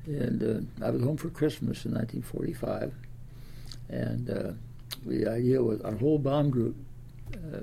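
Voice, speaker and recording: Gravelly ninety-year-old Midwestern American man. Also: medium pitch, warm tone, a little hiss or hum. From Des Moines, Iowa, United States. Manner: rambling, halting recollection with repeated words. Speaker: interviewee, World War Two veteran. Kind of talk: oral history reminiscence.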